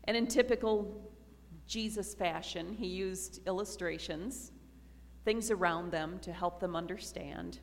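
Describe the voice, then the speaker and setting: A woman pastor giving a slow, earnest communion sermon to her congregation in a church. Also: lowish voice. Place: church sanctuary. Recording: reverberant church hall.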